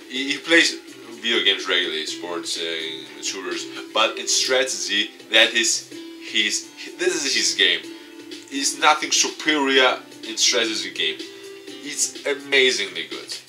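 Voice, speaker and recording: A man reading a visual novel aloud and commenting off the cuff, dramatic with excitable asides. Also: lowish voice, a little slow. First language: not English. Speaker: let's play YouTuber. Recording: distant mic, music behind. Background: game music.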